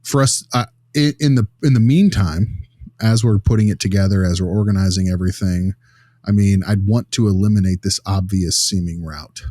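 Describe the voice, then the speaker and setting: A man's voice: sits low, fairly even intonation, normal speed, warm tone, no echo. A man playing an earnest behavior consultant in an improvised role-play, reasoning aloud in a casual, rambling way. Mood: earnest, measured. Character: earnest male behavior analyst consulting on a child's case.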